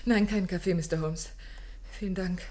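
A woman acting a frightened, polite young client in a German radio play, formal, breathy and trembling. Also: on the low side, fairly even intonation, moderate pace, warm tone, lightly acted. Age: young adult.

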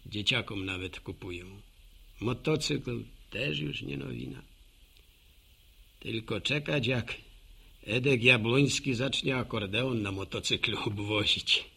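A folksy country postman. His voice is husky and creaky. He rambles at an unhurried pace, a proud local gossip in a radio play. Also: mid-range voice, bright, forceful tone, heavily acted. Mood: musing, proud. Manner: folksy, rambling, musing aloud to himself and listeners. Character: chatty, homespun old village postman, proud local gossip. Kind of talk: radio drama monologue.

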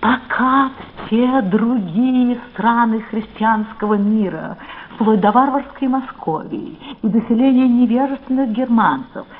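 A woman's voice: fairly high pitch, slight background noise. An actress playing an imperious, witty Elizabethan queen in a radio play, with a stately, wry, declamatory delivery. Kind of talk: theatrical radio play acting. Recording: dull vintage radio drama recording.

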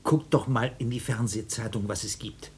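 A middle-aged man playing a helpless, fussy father in a dark comic radio play, hesitant and stammering. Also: mid-range voice, fairly even intonation, normal speed, breathy edge. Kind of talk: German radio play, dark comedy acting.

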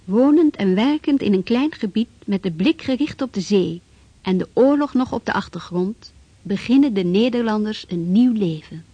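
A woman narrating a historical radio drama in a grave, measured way. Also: medium pitch, lively intonation, mellow, no echo. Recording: dry, dull lo-fi radio recording with music.

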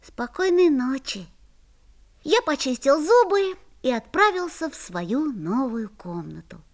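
A woman playing an excitable second-grade boy who narrates his own adventure in an audio fairy tale, expressive and wide-eyed. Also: high-pitched, exaggerated voice. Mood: curious.

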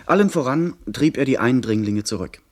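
Man playing young gentleman narrator in a radio play, measured dramatic storytelling, mid-pitched voice. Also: expressive, close to an everyday voice. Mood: tense, narrating. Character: young gentleman recounting his pirate adventure.

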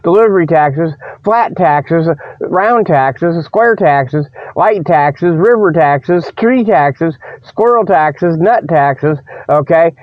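A man hosting a comedy show with a folksy, rambling monologue of tongue-in-cheek sales pitches, peppered with verbal tags. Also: animated, takes it slowly, no echo. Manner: folksy, repeats "you know" and "okay" as tags; chuckles at own jokes. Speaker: host of a comedy radio show. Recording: dull, dry radio recording.

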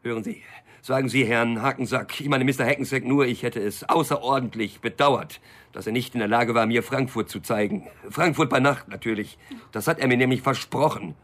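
An actor playing a cynical, restless small-time drug hustler in a radio crime drama, sardonic and brooding in wry asides. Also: male voice, medium pitch, a little fast, fairly bright tone, lightly acted. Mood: indignant.

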